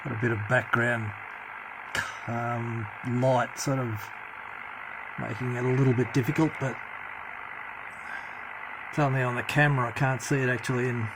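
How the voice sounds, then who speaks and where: A man giving a casual, hands-on CB radio hobby demonstration in a halting, matter-of-fact way, recorded on a handheld phone. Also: medium pitch, level tone. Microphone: handheld phone camera. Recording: noticeable background noise, handheld phone recording.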